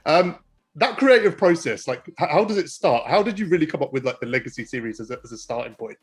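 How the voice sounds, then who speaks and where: Male podcast host, higher-pitched and husky, animated interviewer. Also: fast talker. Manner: lively, laughs often, asks rambling questions.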